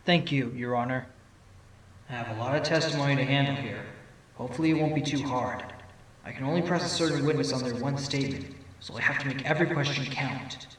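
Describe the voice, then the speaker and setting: A man's voice: medium pitch, brisk, fairly bright tone, close to an everyday voice. Radio-play fox attorney male actor: wry, musing, distant voice with long reverb. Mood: questioning, wry. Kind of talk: audio drama acting with inner monologue. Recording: very echoey, distant voice with music.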